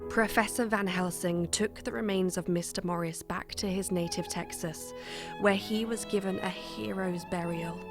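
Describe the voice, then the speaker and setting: Woman as a low-voiced, earnest gothic heroine. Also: steady tone, normal speed, close to an everyday voice. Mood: solemn narration. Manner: formal, earnest. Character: brave, devoted young wife narrating a gothic tale. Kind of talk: dramatic scripted narration. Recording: music behind the voice.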